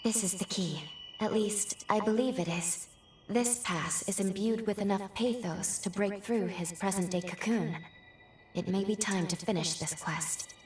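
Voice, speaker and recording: Game companion, female actor: earnest, polite young woman, sincere and measured, roomy, slightly distant sound with faint music. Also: medium pitch, natural voice. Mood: relieved, resolute. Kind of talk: video game cutscene acting.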